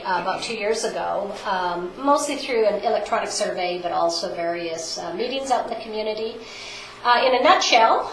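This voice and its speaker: A woman giving a formal presentation to a town council, measured and speaking with frequent pauses and fillers. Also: mid-range voice, creaky. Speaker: health organization presenter. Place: council chamber. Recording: roomy chamber audio with faint noise.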